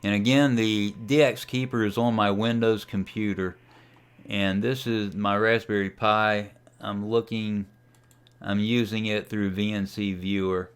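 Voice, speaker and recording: A man with a fairly low, smooth and clear voice narrates a casual software demonstration. He talks unscripted, thinking aloud as he works through each step like a hobbyist showing his setup. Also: steady tone, a little slow. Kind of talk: casual screen-share tutorial narration.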